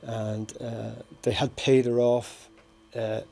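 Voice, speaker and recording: A man in his sixties with a Dublin accent delivers a memorial tribute. His voice is mellow and keeps to a narrow, even range as he reminisces in a measured way. Music plays underneath. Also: mid-range voice, gravelly. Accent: Dublin Irish. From Dublin, Ireland. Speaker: bereaved brother giving a tribute. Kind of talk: personal memorial tribute, mostly read.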